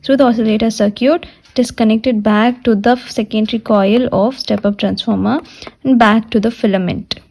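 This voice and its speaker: Higher-pitched woman lecturer with mellow tone. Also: fairly even intonation. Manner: repeats and restates points deliberately. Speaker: physiotherapy lecturer. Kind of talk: step-by-step technical lecture explaining a diagram.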